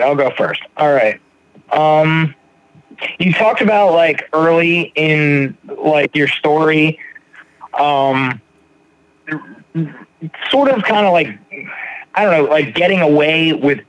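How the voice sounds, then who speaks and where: Halting, stammering podcast host, a man. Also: a little high, fairly bright tone, low-quality recording. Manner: halting, repeats words, many ums and likes. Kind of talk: casual interview.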